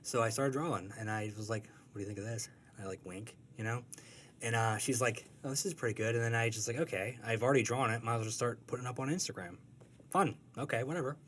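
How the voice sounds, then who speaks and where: A man's voice: medium pitch, fast, fairly bright tone, a little hiss or hum. A young adult man, a comic strip creator, talking casually in an interview and telling rambling, self-deprecating stories.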